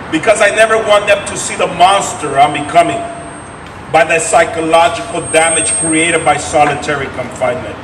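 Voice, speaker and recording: A man giving a dramatic reading as a despairing middle-aged prisoner, with grave, measured phrasing. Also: a little high, close to an everyday voice. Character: despairing man of forty-three imprisoned in solitary confinement. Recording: echoey, noisy room from far off.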